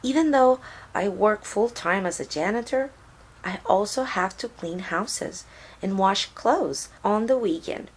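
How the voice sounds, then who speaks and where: Storybook mama played by a woman reader: tender, earnest, hardworking, husky voice. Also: mid-range voice, lively intonation, a little slow, rough recording. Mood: earnest, weary. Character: tender, hardworking Mexican mother of a young boy.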